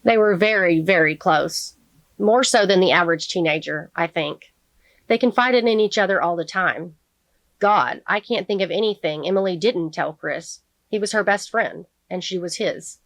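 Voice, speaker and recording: A woman reading the part of a grieving, protective middle-aged father who testifies in court in a measured, sincere audiobook manner. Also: fairly low pitch, no room sound, close to an everyday voice. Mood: reflective, protective.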